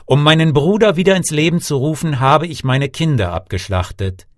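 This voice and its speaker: Man acting a lively, impassioned fairy-tale king. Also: a little high, moderate pace, no echo, studio quality, voice pushed up, lightly acted. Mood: anguished. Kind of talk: storybook character voice.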